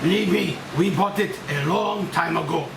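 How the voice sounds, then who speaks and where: A man plays a wary, weary doctor with a high, gravelly voice. He reads at a screenplay table read, far from the mic in an echoey, noisy room. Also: normal speed. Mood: grim, wry.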